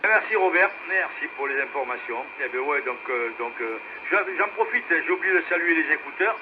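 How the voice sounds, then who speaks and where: A man's voice: on the high side, animated, brisk, narrow, tinny audio. Hesitant male radio host over noisy relay. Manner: hesitant, rambling. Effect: two-way radio. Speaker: amateur radio net host. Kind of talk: casual radio net chat.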